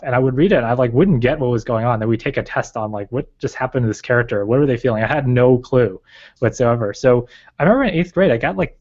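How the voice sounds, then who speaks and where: A man, raised in China with Chinese as his first language, speaks in a middling register as a guest in a relaxed interview. He thinks aloud, rambling through ums and likes. The sound is a bit muffled and lo-fi. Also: medium pitch. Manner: thoughtful, rambling, many fillers. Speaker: guest, research advisor. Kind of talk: casual interview talk. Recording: slightly dull lo-fi recording.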